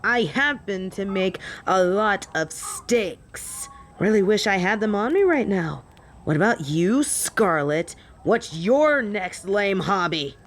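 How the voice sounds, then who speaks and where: A woman playing a cocky, smitten female werewolf in an audio roleplay, teasing and flirting in a deadpan way. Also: mid-range voice, takes it slowly. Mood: smug. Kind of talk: scripted audio roleplay acting.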